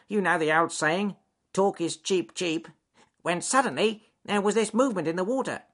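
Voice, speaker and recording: A man's voice: sits high, pushed and bright, voice pushed up, heavily acted. A man voicing a chatty, frightened lame duck who quacks and groans as he talks, in a children's story. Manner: quacking and groaning between phrases.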